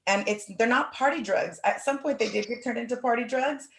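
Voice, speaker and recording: A woman in her thirties from Oakland, hosting a podcast in animated, casual conversation with lots of "like". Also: a little high, a little fast. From Oakland, California. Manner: animated, talks in long runs with fillers like "like". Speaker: podcast host. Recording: roomy echo.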